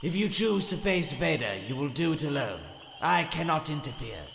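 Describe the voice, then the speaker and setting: An actor playing a ghostly old Jedi mentor, solemn and cautioning, in an audio drama. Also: male voice, a little high, lively intonation, moderate pace, creaky, fairly bright tone. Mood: concerned, solemn. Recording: echoing long reverb, faint noise.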